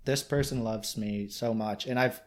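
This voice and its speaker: A man's voice: fairly low pitch, fairly even intonation, normal speed. A man from Michigan with a Yooper accent, talking earnestly and reflectively as a guest, with rambling restarts. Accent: Michigan Upper Peninsula. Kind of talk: casual interview chat.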